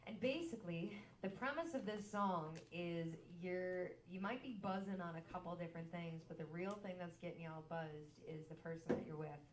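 A woman singer-songwriter chatting on a small cafe stage, bubbly and quick to laugh. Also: lowish voice, background noise. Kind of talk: live stage chat between songs. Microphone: stand mic, far camera. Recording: roomy live room, far from mic.

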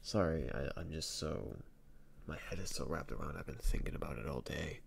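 A man playing a shy young best friend in an ASMR boyfriend roleplay, speaking softly and haltingly with stammers, in a very deep, gravelly voice. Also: slight background noise, heavily acted. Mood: awkward, self-doubting. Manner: hesitant, halting, stammering, soft-spoken.

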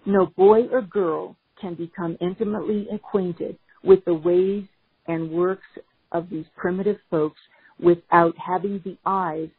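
A woman's voice: fairly low pitch, breathy edge. A woman reading a nature book aloud. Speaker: beaver trapper, reader. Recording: tinny phone-like sound.

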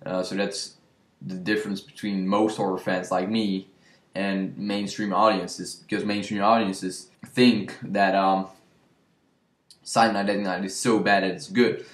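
Young male video review host, casual and chatty, fairly deep, roomy home recording. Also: little pitch movement, measured pace. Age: young adult. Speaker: horror movie review show host. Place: small room with shelves, indoors. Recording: roomy indoor echo.

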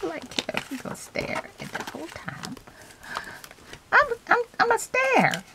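Congested woman chatting softly while crafting. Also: very high-pitched, a little slow, noisy background. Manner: soft, relaxed and chatty. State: congested from a cold. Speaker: craft channel host. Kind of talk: casual chat over magazine cutting. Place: home craft table.

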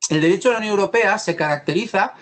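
A man from Spain giving an academic law lecture over a video call, pausing often and restarting his sentences. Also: sits high, a bit bright. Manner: thoughtful, many pauses, fillers and restarts.

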